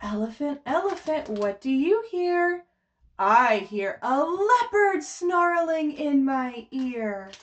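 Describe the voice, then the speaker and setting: A woman with a high voice leads a storytime for babies. She takes her time, shaping phrases in a sing-song, child-directed way. Her manner is encouraging and full of simple exclamations. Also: animated, slow, highs cut off. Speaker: children's librarian leading a baby storytime. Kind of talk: sing-song picture book read-aloud and coaching for babies and parents.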